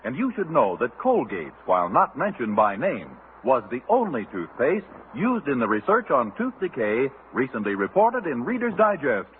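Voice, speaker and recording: A man announcing a 1950s radio advertisement in an emphatic, polished sales delivery. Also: on the high side. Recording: scratchy, narrow-band old radio recording.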